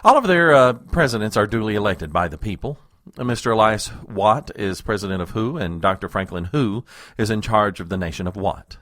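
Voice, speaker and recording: A man's voice: fairly low pitch, expressive, a bit bright, dry recording, studio quality. A man playing a patient, weary presidential aide, the exasperated straight man of a comedy audio drama. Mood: patiently explaining. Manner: weary, patient, explaining slowly.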